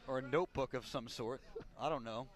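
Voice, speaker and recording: A man calling baseball play-by-play for radio in a measured delivery that builds on big plays, working as a broadcaster at an outdoor ballpark. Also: mid-range voice. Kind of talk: radio sports commentary.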